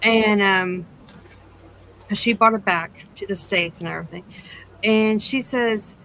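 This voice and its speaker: A woman's voice: medium pitch, slow pace, bright, forceful tone, a little hiss or hum, rough recording. A woman chatting casually and conversationally as a podcast co-host.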